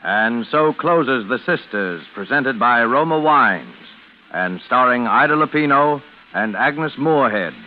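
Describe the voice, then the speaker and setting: A male announcer from 1940s radio, speaking slowly and formally at a medium pitch. Music plays behind him on a muffled old recording. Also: lively intonation, slight background noise.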